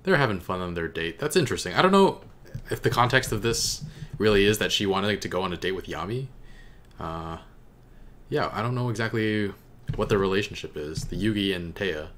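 A man's voice: low voice, lively intonation, a little fast, a bit bright. A young man giving casual reaction commentary as the host, recorded indoors at a desk microphone. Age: young adult. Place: indoor room at home. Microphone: desk microphone close in front.

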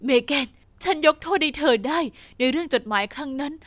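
A gentle young stepmother from a narrated story, as a woman puts her on. She speaks softly and tenderly. The sound is dry and close. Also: sits high, fairly quick, no echo. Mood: firm. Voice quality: soft.

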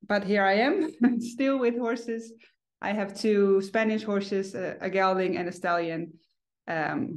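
A woman, chatting in a friendly, casual way as a guest on a video call from home. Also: fairly low pitch. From Netherlands. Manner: friendly, chatty, with fillers and restarts. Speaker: guest, horse owner. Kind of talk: casual interview chat. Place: home room.

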